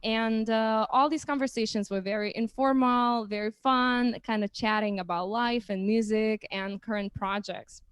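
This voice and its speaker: A woman's voice: a little high, steady tone, normal speed, hardly any pauses, clean tone, no room sound. A young woman hosting a casual livestream chat, speaking hesitantly and often restarting phrases. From Lithuania. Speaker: host.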